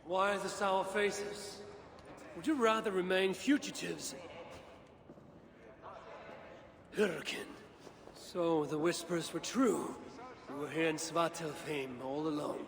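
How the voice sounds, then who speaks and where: An actor playing a vengeful, weary Norse father god in a video game, speaking gravely and deliberately with a gravelly voice. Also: fairly high pitch, fairly even intonation, long reverb tail. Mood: grand, proud. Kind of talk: dramatic cinematic video game acting.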